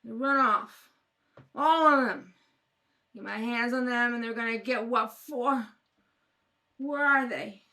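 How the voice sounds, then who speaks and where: A woman's voice: fairly high pitch, slow, long pauses, sharp tone, exaggerated voice. A woman playing a scornful, threatening mother with slangy speech in a radio crime drama. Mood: angry, scornful. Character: belligerent, slovenly, hard-bitten mother, scornful of her children.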